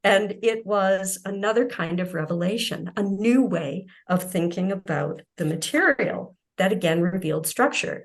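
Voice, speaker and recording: A woman gives an academic keynote, walking the audience through her research. She speaks in a measured, explanatory way with a slightly low voice. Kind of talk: academic lecture.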